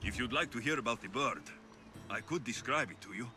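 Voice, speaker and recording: A man's voice: medium pitch, a little fast, over music, lightly acted. Man acting an earnest ancient Greek mercenary. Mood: friendly. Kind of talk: video game dialogue acting.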